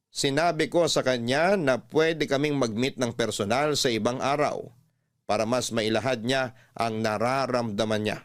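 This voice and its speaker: A man with a Filipino accent, a radio host reading a first-person love story as dramatic narration. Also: mid-range voice. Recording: music bed under the voice.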